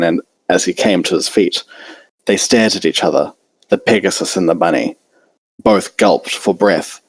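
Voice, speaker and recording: A man narrates a piece of fan fiction. His voice sits low, and the recording is dry, with very little room around it. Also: slightly rough, no echo, poor audio. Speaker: story narrator.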